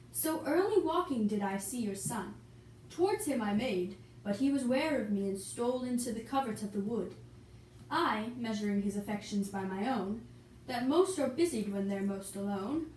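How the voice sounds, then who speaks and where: Brief: earnest young lord puppet read by a woman, amateur verse recital, high voice, off-mic in echoey room. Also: darkish tone, close to an everyday voice. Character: earnest young nobleman Benvolio, plush puppet. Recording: echoey room, distant mic, background hiss.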